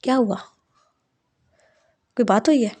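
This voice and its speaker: A woman voicing a cheeky younger sister who talks in a calm, matter-of-fact, reasoning way. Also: fairly high pitch, a little slow.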